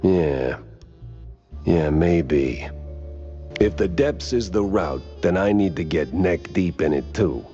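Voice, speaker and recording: A very low, gruff cartoon gumshoe, world-weary and dryly wisecracking in 1930s noir style. The sound is a little muffled, with music playing behind. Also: male voice, lively intonation, slow pace, mellow. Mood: brooding. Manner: dry, wisecracking noir delivery. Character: hardboiled, world-weary cartoon mouse private detective.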